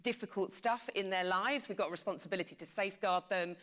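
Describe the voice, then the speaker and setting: Woman, mid-pitched voice, emphatic, conversational, media panelist. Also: fast, a bit bright. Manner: emphatic, repeats phrases for emphasis.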